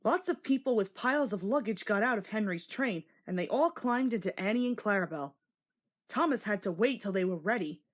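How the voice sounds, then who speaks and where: A woman's voice: medium pitch, animated, fairly quick. A woman narrating a scripted children's storybook as a read-along.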